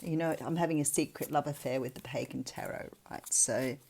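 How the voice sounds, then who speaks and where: A woman's voice: low voice, fairly even intonation, a little fast, creaky, darkish tone, slight background noise. An Australian woman giving a casual tarot reading in a chatty, reflective, conversational way. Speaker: tarot reader, channel host.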